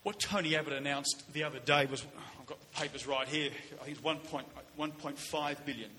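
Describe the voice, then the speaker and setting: Bright-voiced Australian man in an echoey hall. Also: on the high side. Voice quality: loud voice. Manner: halting, stammering repeats of words. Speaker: politician on a panel. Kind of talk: answering a question at a public forum. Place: large indoor hall, public forum. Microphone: shared event microphone. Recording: echoey hall with background noise.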